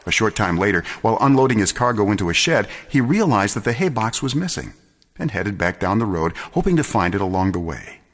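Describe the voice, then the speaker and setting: A man giving a dramatic scripted story reading, measured and solemn, with deliberate pauses and expressive phrasing. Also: on the low side. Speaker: storyteller, narrator.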